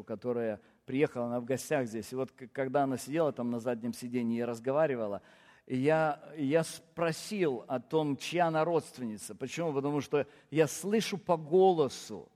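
A man's voice: mid-range voice, darkish tone, big-room sound. A man, a pastor, preaching a sermon and counting off his points with firm, rising emphasis.

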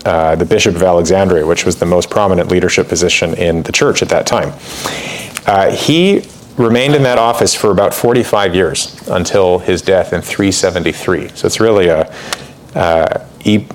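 Low-voiced man giving a casual lecture. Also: a little hiss or hum. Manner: conversational, with frequent fillers and asides; reads quotations aloud with more emphasis. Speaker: church history teacher. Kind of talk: informal lecture.

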